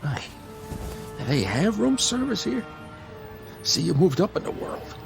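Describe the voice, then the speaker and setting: Battered, wry older brother, gravelly swoops. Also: male voice, high-pitched, very animated, a little slow, music in the background, heavily acted. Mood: wry, weary. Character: beaten-up, protective older brother, wisecracking streetwise New Yorker type. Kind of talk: radio drama acting.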